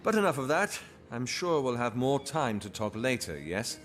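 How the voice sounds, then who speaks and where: An actor playing a composed, dryly sharp inspector in a fantasy video game, commanding and wry in manner. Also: male voice, mid-range voice, very animated, normal speed, faint music behind. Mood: wry, pointed.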